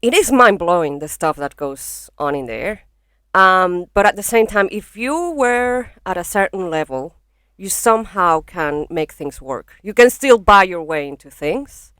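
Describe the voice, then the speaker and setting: A woman's voice: fairly low pitch, lively intonation, a little slow, a bit bright, clear, resonant tone, dry recording. A young woman from Venezuela with a Venezuelan accent, a comedian talking frankly and swearing freely as a podcast guest in a bedroom studio. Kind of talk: casual podcast chat. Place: small home studio in a bedroom. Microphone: podcast microphone.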